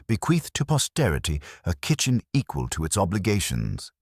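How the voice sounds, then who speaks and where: A pompous older village matron, played by a male narrator, holding forth at a committee in a radio mystery. The delivery is precise and decisive, with swooping intonation and a husky edge. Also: low-pitched, wildly swooping pitch, moderate pace, darkish tone, dry recording, studio quality, voice pushed up, heavily acted. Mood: grandly formal. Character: prim, imperious older woman chairing village committee.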